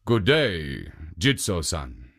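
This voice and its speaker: A storyteller doing a gruff, suspicious ogre. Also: low voice, sing-song, slow pace, a bit bright, pitched below the performer's own voice, full character voice. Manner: sniffing, suspicious, blunt. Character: hairy man-eating male ogre, gruff.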